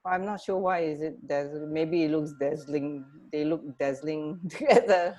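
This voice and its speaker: A woman with a fairly low voice co-hosts a radio show. She talks in a casual, conversational way, feeling for her phrasing as she goes. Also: moderate pace, darkish tone. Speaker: radio co-host.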